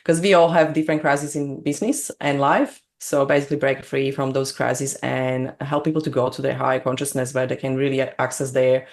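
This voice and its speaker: A woman from Slovakia with a Slovak accent, speaking English as a second language as a guest on an interview, bubbly and quick to laugh. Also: a bass voice, flat delivery. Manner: bubbly, laughs often, non-native grammar. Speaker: business coach, guest. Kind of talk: casual interview chat.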